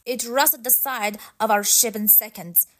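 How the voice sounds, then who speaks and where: A woman voicing young space-travelling friends with a plain, expressive delivery in a children's storybook. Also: on the high side, measured pace, natural voice. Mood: uneasy.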